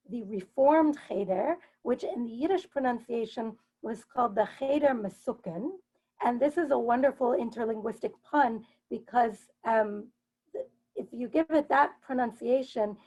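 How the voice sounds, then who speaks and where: Female professor on a panel, voice a bit higher than average, explaining carefully in a measured way. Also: dark tone, rough recording. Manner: articulate, measured, explains terms as she goes. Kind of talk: explanatory academic talk.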